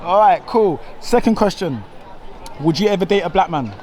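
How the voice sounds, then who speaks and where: A London man with a noticeably high voice talks casually to his vlog viewers and people he meets outdoors. His manner is informal and familiar, and he often repeats himself. Street noise and a busy crowd sit clearly behind him. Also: animated, normal speed, darkish tone. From London, England. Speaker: travel vlogger and street interviewer.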